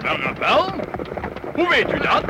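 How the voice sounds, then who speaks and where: A lordly medieval count in an old radio drama, very high for a male voice. He questions slowly and sceptically, with lively swings of pitch, on a noisy recording. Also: exaggerated voice. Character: shrewd, sceptical medieval count, commanding and probing. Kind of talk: old radio play acting.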